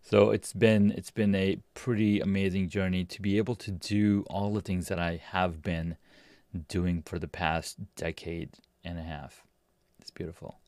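A man with a deep, low voice tells his story as a podcast guest, relaxed and rambling, often restarting his sentences. He sounds close and dry, with no room around him. He is in his forties and comes from Pakistan. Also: unhurried, no echo. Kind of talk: casual interview talk.

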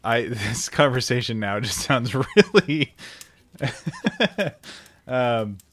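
A man co-hosting an improvised comedy podcast, talking in a hesitant, halting, deadpan way. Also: medium pitch. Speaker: podcast co-host.

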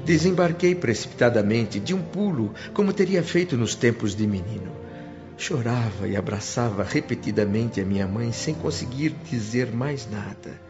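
An actor with a Brazilian accent narrating a radio drama as an emotional, reverent male spirit, solemn and expressive in a measured way. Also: mid-range voice, lightly acted. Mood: moved, joyful. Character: emotional male spirit recalling a blissful afterlife, reverent and awed. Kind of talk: scripted first-person dramatic narration. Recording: slightly dull radio drama with music. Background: music.

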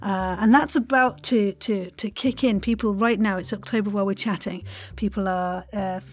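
A woman's voice: medium pitch, steady tone, normal speed. A woman hosting a podcast in an effusive, playful and theatrical way, chatting casually and enthusiastically. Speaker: podcast host and interviewer.

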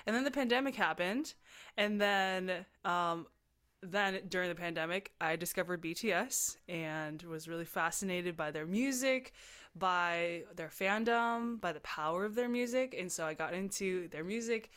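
A woman hosting a casual conversation, warm in her questions, with lively, excited rises and frequent laughter. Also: on the low side, normal speed. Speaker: host. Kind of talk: casual interview.

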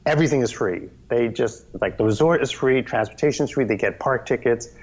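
A man telling stories as the guest in a casual interview, animated and rambling, often restarting his sentences; the audio is slightly dull. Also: mid-range voice, fairly quick. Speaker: guest, children's TV performer.

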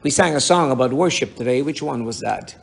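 A middle-aged man preaching a sermon to his congregation, with a raspy voice and emphatic rhetorical questions. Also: mid-range voice, lively intonation, a little fast. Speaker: pastor.

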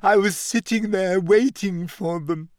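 A man voicing a glum, touchy ghost girl in an audiobook, mournful and self-pitying, turning shrill when upset. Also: measured pace, dry recording, studio quality. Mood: self-pitying, aggrieved. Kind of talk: audiobook character voice.

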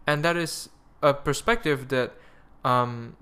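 A man's voice: mid-range voice, slow pace, sharp tone. A young man with a Malaysian accent hosting a podcast in a rambling, crude, self-mocking casual chat. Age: young adult. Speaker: podcast host.

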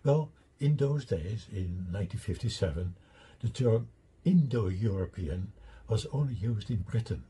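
An older man with a Dutch accent lectures over slides at an unhurried pace, and his voice is very husky. His pitch swings widely as he leads through his points with asides. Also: expressive, mellow. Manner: deliberate, explains step by step with asides. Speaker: lecturer presenting his own theory.

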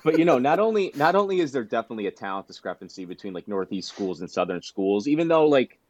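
A man's voice: mid-range voice, very animated, quick pace, dull audio, a crowd in the background. A man co-hosting a podcast, telling rambling anecdotes broken by laughter in a casual chat. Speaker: podcast co-host.

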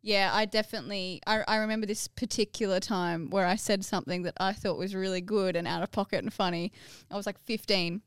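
A woman's voice: medium pitch, steady tone, a little fast, hardly any pauses, clear, resonant tone, dry recording, studio quality. A young woman co-hosting a podcast, chatting candidly and telling a personal story. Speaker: podcast co-host. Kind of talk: casual podcast chat.

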